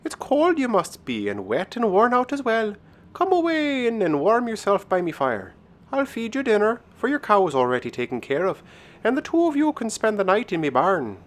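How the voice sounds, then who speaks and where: A man voicing a gentle, hospitable young Irish countrywoman in a storybook, with a lilting Irish accent. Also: high-pitched, wildly swooping pitch, normal speed, pitched above the performer's own voice. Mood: kind, welcoming. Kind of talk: storybook character voice.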